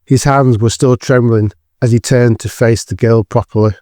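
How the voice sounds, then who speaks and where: A man's voice: medium pitch, steady tone, normal speed, warm tone, no room sound, studio quality. A man narrating a scripted dramatic thriller as the storyteller of an audio drama.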